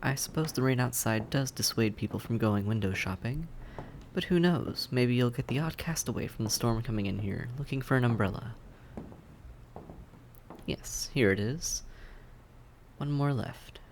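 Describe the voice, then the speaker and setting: A man playing a suave, polite vampire in an audio roleplay, courteous and measured, with a softly teasing menace. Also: mid-range voice, vocal fry, a little hiss or hum, close to an everyday voice. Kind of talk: scripted audio roleplay acting, addressed to the listener.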